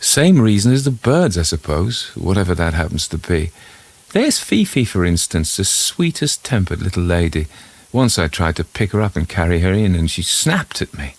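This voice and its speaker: A man voicing an uneasy country gentleman in a ghost story, formal and a little hesitant as he puzzles things out. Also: low-pitched, sing-song, moderate pace, full character voice. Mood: resigned.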